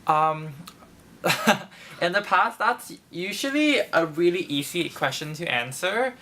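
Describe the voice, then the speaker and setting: A woman's voice: fairly low pitch, lively intonation, slow, sharp tone. A young woman from Thailand and Canada answering interview questions casually. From Bangkok, Thailand and Toronto, Canada.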